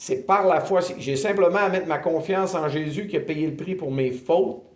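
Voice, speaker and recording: A man in his fifties with a Québécois accent, earnestly telling his faith testimony in an interview, often pausing with filler sounds. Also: a little high. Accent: Québécois French. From Quebec, Canada. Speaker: ministry director giving testimony. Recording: roomy echo.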